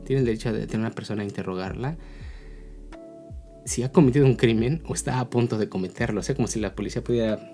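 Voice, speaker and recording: A man's voice: fairly low pitch, fast, warm tone, faint music behind. A man with a Mexican accent giving a casual, explanatory opinion talk as a video blogger, often checking in with tag questions.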